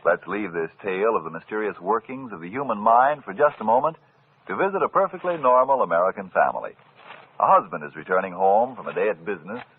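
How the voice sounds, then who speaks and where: Animated male announcer, old radio. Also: fairly low pitch, quick pace. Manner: polished, emphatic salesmanship. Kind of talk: 1940s radio announcing and advertising. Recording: muffled, hissy old radio broadcast.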